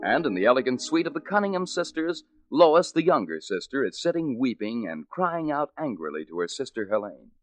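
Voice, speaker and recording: A man's voice: mid-range voice, very animated, fairly quick, few pauses, no echo. A man announcing and narrating in a scripted 1940s radio drama style. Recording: old radio broadcast with music. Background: music.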